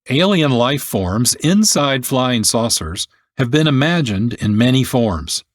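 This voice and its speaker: Unhurried male host, dry close sound. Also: medium pitch, no echo. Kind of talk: scripted documentary narration.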